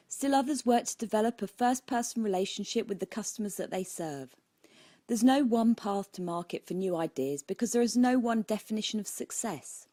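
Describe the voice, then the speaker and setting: A woman's voice: mid-range voice, warm tone, dry recording, clean studio sound. A woman with a British accent reading her own book aloud as scripted audiobook narration.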